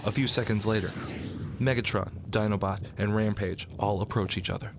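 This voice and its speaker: A man's voice: fairly low pitch, fairly even intonation, fairly quick, vocal fry, background noise. A man narrating a scripted action story in the present tense for an audio drama.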